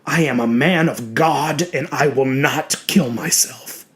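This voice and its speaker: A man's voice: mid-range voice, unhurried, lightly acted. A man voicing a petulant, self-righteous small-town reverend with a beast inside, preaching his own excuses in an indignant, brooding way for a horror audio drama. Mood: self-righteous, scheming. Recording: music behind the voice.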